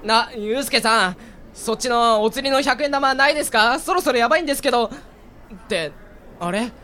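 A man's voice: very high-pitched, brisk, pushed and bright, a little hiss or hum. A man playing a harried, grumbling young cake seller, the straight man of a radio drama. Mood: flustered. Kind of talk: amateur Japanese radio drama acting.

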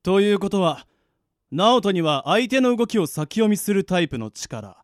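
Man acting a pompous, high-pitched spirit gentleman mentor. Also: very animated, normal speed, no room sound, studio quality. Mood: solemn, explaining. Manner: pompous, old-fashioned, lecturing speech. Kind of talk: anime-style radio drama acting. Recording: background music under the voice.

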